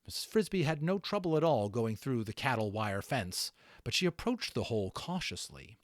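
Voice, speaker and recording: Male amateur narrator, mid-pitched and animated, for expressive children's book storytelling in a dry space. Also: normal speed, no room sound. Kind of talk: dramatic storybook reading with casual asides.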